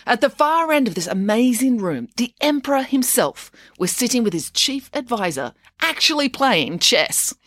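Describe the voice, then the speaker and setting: A woman narrating a children's story in an expressive, dramatised reading style. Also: lowish voice, no echo, clean studio sound.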